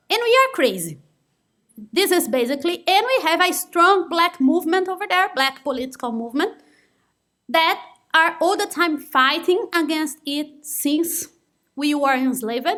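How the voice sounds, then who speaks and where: High bright Brazilian-accented woman speaker. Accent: Brazilian Portuguese. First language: Portuguese. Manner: earnest, non-native phrasing. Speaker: conference speaker. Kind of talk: conference presentation.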